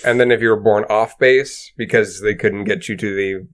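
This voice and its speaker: A low-voiced man co-hosting a casual podcast. His voice is smooth and clear, with a lisp on some sounds. He talks in an informal, conversational way. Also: steady tone, normal speed. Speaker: podcast co-host.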